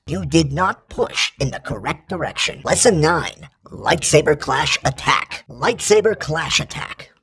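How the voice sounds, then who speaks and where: An actor voicing an exacting combat training droid in a video game, formal and impassive, with a gravelly, husky robotic voice. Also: male voice, on the high side, a bit bright, exaggerated voice. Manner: formal, impassive, precise, instructional. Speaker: combat instructor. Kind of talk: scripted video game tutorial lines.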